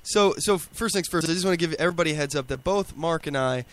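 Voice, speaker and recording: A sick man hosts a casual talk podcast, his voice worn as it starts to give out. He speaks in halting starts and often repeats words. His voice sits slightly high for a man. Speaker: podcast host. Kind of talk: casual political talk show.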